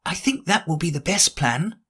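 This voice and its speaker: Guy reading it doing a prim little girl thinking out loud, voice bouncing up and down, storybook style. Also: fairly high pitch, animated, normal speed, husky, pitched above the performer's own voice. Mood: musing. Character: curious, sensible young girl talking to herself.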